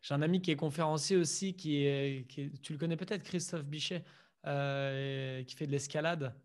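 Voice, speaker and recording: A man's voice: on the high side, fairly even intonation, normal speed. A man hosting a podcast who chats casually, with many filler words and agreeing noises. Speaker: podcast host.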